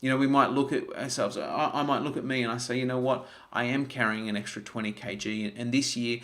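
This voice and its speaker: An earnest man talks through a motivational livestream. His voice sits at an ordinary mid pitch, and he often repeats words and restarts his sentences as he thinks aloud. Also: fairly even intonation, a little fast, fairly bright tone. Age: 40s. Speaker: health vlogger. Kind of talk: live-streamed motivational talk.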